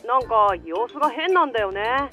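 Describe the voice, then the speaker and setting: A woman's voice: on the high side, lively intonation, moderate pace, pushed and bright, soft background music. A woman voicing an easygoing, oblivious young boy in an anime audio drama. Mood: puzzled.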